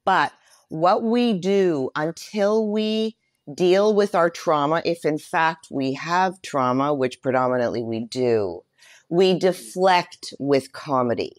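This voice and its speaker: A woman in her sixties speaking candidly and reflectively as an interview guest, with measured emphasis. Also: deep voice, animated, dry recording, studio quality.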